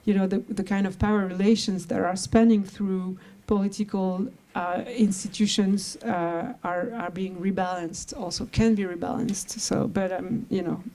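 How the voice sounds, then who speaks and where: A woman researcher answering audience questions, thinking aloud with hesitant, halting phrasing and many restarts. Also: medium pitch, steady tone, dark tone. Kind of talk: academic Q&A answer.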